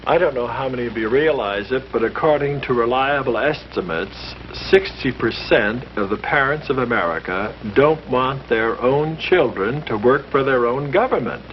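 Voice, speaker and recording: A priest speaking earnestly to a small group, with a lively, preacherly way of stressing his points. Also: medium pitch, moderate pace, few pauses. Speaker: priest leading a discussion. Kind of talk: earnest scripted speech in a 1951 short film. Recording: muffled old film soundtrack with hiss.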